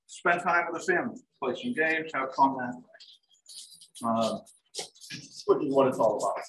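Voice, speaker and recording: A middle-aged chef giving a live cooking demonstration in a large home kitchen. He explains each step in a relaxed way, with plenty of "um" and "like I said". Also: mid-range voice, normal speed. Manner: relaxed, step-by-step instructions with frequent "um" and "like I said". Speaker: chef and dining services director. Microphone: fixed camera across the counter. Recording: echoey kitchen, voice from a distance, faint hiss. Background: turkey sizzling in a pan.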